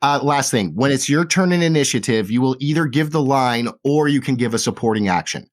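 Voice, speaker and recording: A man acting as game master, explaining game rules quickly and plainly. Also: medium pitch, lively intonation.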